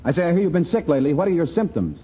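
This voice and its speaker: Husky, animated comic straight man. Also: a little high, racing. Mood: patient. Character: exasperated straight man, genial and scheming. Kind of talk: 1940s radio comedy acting. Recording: dull vintage radio recording, audience behind.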